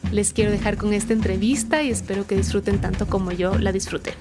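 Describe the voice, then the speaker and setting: A young woman from Ecuador, hosting a podcast with a calm, unhurried, friendly intro. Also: medium pitch, loud music behind the voice. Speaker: podcast host.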